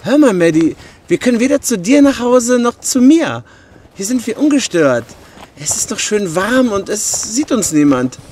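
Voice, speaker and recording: High-voiced cocky teenage boyfriend. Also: male voice, big pitch swings, normal speed, a little mellow, a little hiss or hum. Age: young adult. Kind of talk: horror audio drama acting.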